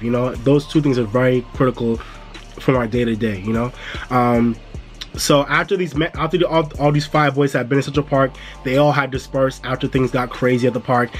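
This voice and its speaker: A laid-back man talks straight to his viewers in a casual review vlog, easygoing and chatty. His voice sits at an ordinary middle pitch and comes through a little stuffy from a cold. Faint music plays quietly behind him. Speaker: music and entertainment YouTuber.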